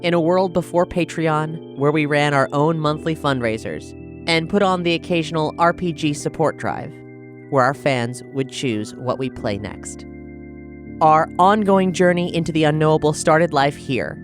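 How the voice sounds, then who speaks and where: Podcast host, scripted narration, medium pitch, prominent guitar music behind. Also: lively intonation, moderate pace. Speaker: podcast host introducing an episode.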